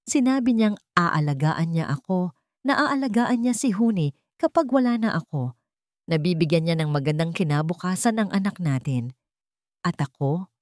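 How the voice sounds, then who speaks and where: Narrator as a desperate dying wife, animated and pleading. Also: female voice, fairly low pitch, no room sound, studio quality. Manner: pleading, confessional. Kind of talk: dramatic story character reading.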